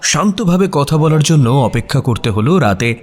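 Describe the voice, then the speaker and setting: A man's voice: fairly high pitch, lively intonation, moderate pace, lightly acted. A man playing a curious writer and detective's companion, narrating a radio story in the first person with expression. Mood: descriptive narration.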